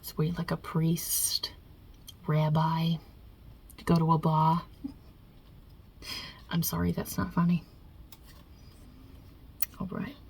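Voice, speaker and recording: Husky New York Italian mob-boss deity for ASMR roleplay, non-binary, low register, wry, hesitant, muttering asides played by a woman. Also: completely flat delivery, slow, pauses often, a little mellow, exaggerated voice. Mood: dry, teasing. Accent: put-on Italian-American New York.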